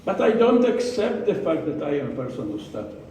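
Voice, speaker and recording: A man with an Israeli accent giving a prepared speech to a club, slow and deliberate, with pauses and repeated words. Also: a little high, big pitch swings, dark tone, slight background noise. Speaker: club speaker. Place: meeting room. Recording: echoey room from far off.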